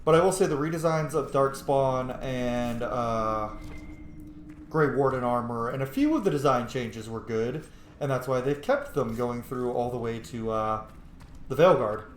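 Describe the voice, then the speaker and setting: A man's voice: mid-range voice, measured pace. Male gaming host, casual and opinionated, rambling and sweary, recorded in an echoey room with faint noise. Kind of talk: casual gameplay commentary. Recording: roomy echo, faint background noise.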